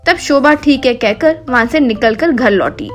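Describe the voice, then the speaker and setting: A woman's voice: on the high side, lively intonation, moderate pace, a bit bright. A woman narrator reading a scripted comic story. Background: faint music.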